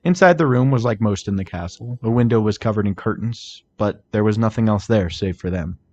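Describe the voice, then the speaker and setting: A man's voice: on the low side, a little fast, darkish tone, lo-fi sound, a little hiss or hum. A man reading fan fiction aloud as a scripted story narrator. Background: music.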